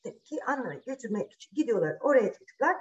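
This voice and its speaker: A woman's voice: on the high side, very animated, fast talker, a little mellow, dull audio. A woman storyteller narrating a fairy tale informally for children, dramatic and full of questions to her young listeners.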